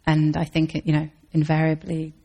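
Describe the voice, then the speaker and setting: Woman Zen teacher, low flat voice with husky fry, thoughtful and searching answers. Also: brisk. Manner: thoughtful, searching, with restarts and soft laughs. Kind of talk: dharma talk Q&A answers.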